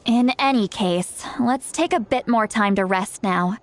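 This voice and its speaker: A woman voicing a caring, upbeat young female adventurer in a game, who talks in a warmly reassuring and encouraging way. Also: medium pitch, unhurried, a little hiss or hum, natural voice. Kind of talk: game voice acting.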